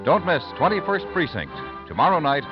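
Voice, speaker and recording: Animated male vintage radio announcer. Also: a little high, fairly quick. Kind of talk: 1950s radio announcing, scripted. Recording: muffled vintage radio broadcast with music. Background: music.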